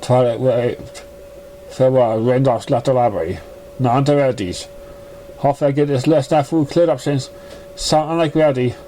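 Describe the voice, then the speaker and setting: Mellow-voiced man reading a story haltingly. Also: mid-range voice, fairly even intonation. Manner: stumbling, halting reading with misread words. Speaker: amateur fan fiction reader. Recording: noticeable background noise, amateur recording.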